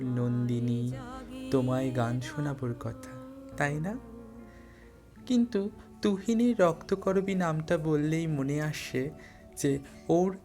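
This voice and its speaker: Mellow storyteller over background music. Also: mid-range voice. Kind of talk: scripted audio story narration.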